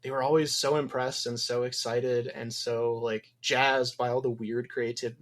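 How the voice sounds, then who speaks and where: A man's voice: medium pitch, little pitch movement, unhurried, a bit bright. A man from Wisconsin, a game developer guest in a casual podcast interview, talking thoughtfully with many ums. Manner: thoughtful, rambling with frequent ums.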